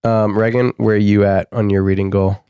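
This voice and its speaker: A young man co-hosting a casual podcast, a little sick and husky, talking in a relaxed, rambling way with many fillers. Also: lowish voice, brisk, no echo. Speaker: podcast co-host.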